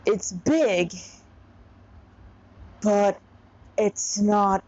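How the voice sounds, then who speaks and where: A woman reading a story aloud informally with asides, halting at times and correcting herself as she goes. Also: mid-range voice, darkish tone, highs cut off. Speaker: reader of own fan fiction.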